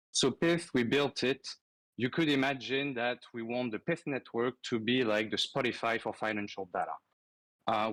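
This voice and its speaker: A man's voice: medium pitch, a bit bright, no room sound, rough recording. A man from France with a French accent, a guest on a casual online show, explaining at length with frequent fillers and restarts.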